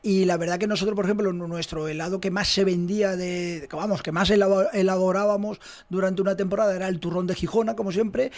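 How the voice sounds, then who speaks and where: A man's voice: high-pitched, steady tone, fast talker, few pauses, a little mellow. A man with a mixed Alicante and Extremaduran Spanish accent, an artisan ice cream maker explaining his craft in an easygoing interview. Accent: Alicante Spanish blended with Extremaduran. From Alicante, Spain.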